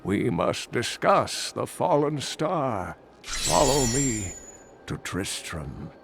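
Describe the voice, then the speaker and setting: An actor playing an old male scholar who tells lore in a slow, measured way. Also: mid-range voice, sing-song, vocal fry, music in the background, exaggerated voice. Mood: grave. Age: older.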